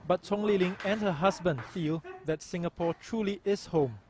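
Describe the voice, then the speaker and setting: A man reading a documentary news report in a measured, even voice. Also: high voice, crowd noise. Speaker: news narrator. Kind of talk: scripted documentary narration. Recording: voice-over with music behind.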